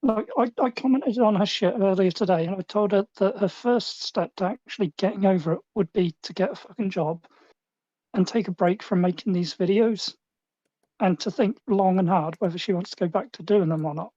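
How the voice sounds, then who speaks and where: Sweary rambling guy on a muffled lo-fi call, dark mellow voice. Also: sits high, normal speed, dry recording. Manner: blunt, profane, rambling. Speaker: co-host. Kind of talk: casual chat.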